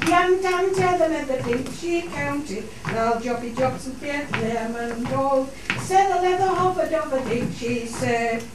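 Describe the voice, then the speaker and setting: Female folk singer, dialect, unaccompanied, heavily echoing and distant with clear noise. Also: high-pitched. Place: studio theatre.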